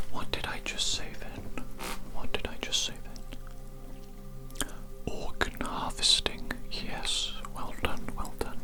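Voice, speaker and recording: Someone doing an asmr alien doctor roleplay, all whispered, really slow, polite and repeats stuff soothingly. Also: natural voice. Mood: politely clinical. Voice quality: breathy unvoiced whisper. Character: oddly formal alien doctor posing as a fellow human. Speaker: ASMR performer playing an audiologist.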